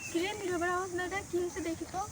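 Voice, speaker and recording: A woman's voice: very high-pitched, little pitch movement, rapid-fire. A woman playing a protective, anxious elder sister with a Bangladeshi accent, talking urgently in an amateur skit. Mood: distressed, urgent. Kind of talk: amateur horror-comedy skit acting. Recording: noisy location sound with ambience.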